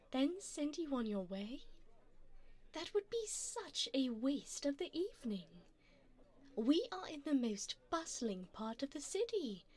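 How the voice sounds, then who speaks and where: Woman playing a doting, elegant vampire sugar mommy, high lilting voice. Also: warm tone. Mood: indulgent, reassuring. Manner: coaxing, refined, pampering endearments. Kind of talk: ASMR romantic audio roleplay.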